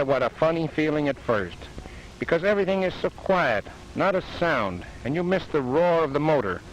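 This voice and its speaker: A man's voice: on the high side, a little hiss or hum, low-quality recording. An American man narrating a scripted 1930s newsreel in a jaunty, folksy, wisecracking manner on an old film soundtrack.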